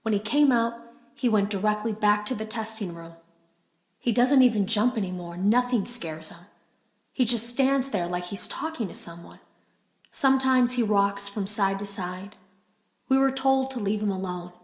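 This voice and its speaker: An actress playing an uneasy female game tester, confiding in a measured, serious way in scripted audio logs for a horror game. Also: mid-range voice, roomy sound, close to an everyday voice. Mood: somber, troubled.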